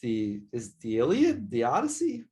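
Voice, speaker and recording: A man's voice: mid-range voice, wildly swooping pitch, moderate pace, dark tone, slightly rough. A man co-hosting a gaming podcast, in laid-back, joking chat that often stumbles over its words. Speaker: podcast co-host.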